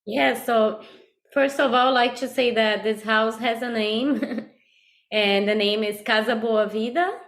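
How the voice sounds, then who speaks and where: Lady talking about her work, kinda high voice, earnest and warm laughs here and there. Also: fairly even intonation, measured pace. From Brazil. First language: Portuguese. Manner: earnest, reflective, laughs lightly while talking. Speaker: guest, birth and death doula. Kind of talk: casual interview talk.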